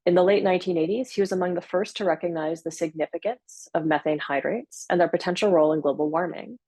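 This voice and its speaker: Woman, webinar host, lowish voice, dry close sound, measured with uh fillers. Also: dark tone, no room sound. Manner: measured, with frequent uh fillers and self-corrections. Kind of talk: formal webinar introduction. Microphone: computer mic on a video call.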